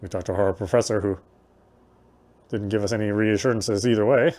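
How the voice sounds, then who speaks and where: Low-voiced man chatting through a game. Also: steady tone, fairly quick, darkish tone. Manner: chatty, thinks aloud. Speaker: game streamer and host. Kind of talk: casual gameplay commentary.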